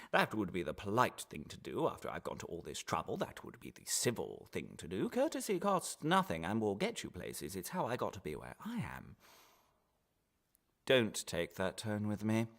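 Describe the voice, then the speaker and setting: A man playing an officious, falsely reasonable interrogator in a radio drama, patronising and quietly menacing. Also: lowish voice, gravelly, full character voice. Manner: officious, patronising, measured with pointed pauses.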